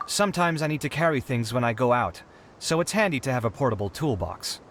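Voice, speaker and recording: A man's voice: mid-range voice, animated, brisk, fairly bright tone, a little hiss or hum. Theatrical, exasperated young male inventor. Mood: explanatory. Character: harried young male inventor, dramatic, put-upon, kind-hearted. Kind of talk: animated video game character acting.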